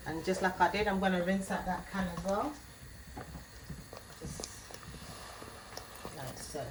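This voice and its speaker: A woman's voice: lowish voice, a little slow, very noisy. A woman with a Jamaican accent, talking through a cooking tutorial in her kitchen, with a chatty habit of asking "yeah?" after most phrases. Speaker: home cook. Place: home kitchen.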